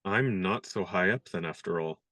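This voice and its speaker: An actor in a radio play playing a young gentleman disguised as a valet, speaking in a dry, good-humored, polite way. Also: deep voice, normal speed, no echo. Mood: wry.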